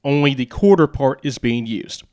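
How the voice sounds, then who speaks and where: A man hosting a casual audio gear demo, explaining things piece by piece in short, halting phrases. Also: medium pitch, animated, a little mellow. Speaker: YouTube host presenting radio and audio gear.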